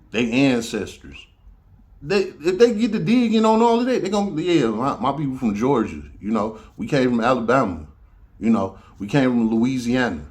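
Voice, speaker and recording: A man from Detroit with a Southern-tinged accent, telling stories in a loose, profane monologue as a podcast host. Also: mid-range voice. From Detroit, USA. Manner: loose storytelling, profane, repeats "you know what I'm saying". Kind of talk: casual monologue.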